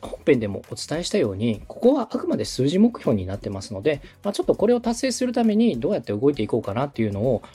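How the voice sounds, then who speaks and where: Male host, polite and methodical consultant, mellow tone, animated pitch movement. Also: fast talker, few pauses, breathy edge, soft background music. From Osaka, Japan. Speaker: host, podcast producer coaching a client. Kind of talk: structured advice in a podcast consultation.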